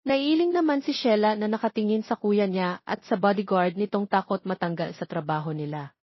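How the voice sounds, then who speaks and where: A woman's voice: medium pitch, moderate pace, dry recording. A woman reading a scripted romance story as narrator, on a slightly dull recording.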